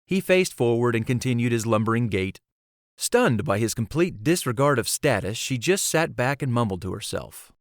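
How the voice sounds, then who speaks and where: A man narrates a fantasy audiobook in a medium-pitched voice. The delivery is scripted storytelling, recorded close with no room sound. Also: sing-song, moderate pace, no echo. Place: close, dry recording space.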